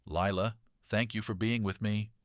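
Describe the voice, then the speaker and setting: Man voicing an earnest, low-pitched young boy. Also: fairly even intonation, normal speed, dry recording, clean studio sound, lightly acted. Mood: proud, grateful. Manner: clear, earnest, reassuring. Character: earnest young boy gaining confidence, kind and encouraging.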